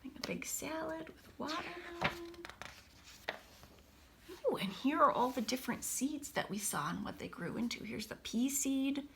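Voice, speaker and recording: A woman teacher reading a picture book aloud to children, expressively, with casual, hushed explanatory asides. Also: high-pitched, moderate pace, a little hiss or hum. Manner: animated storybook delivery, dropping to hushed asides.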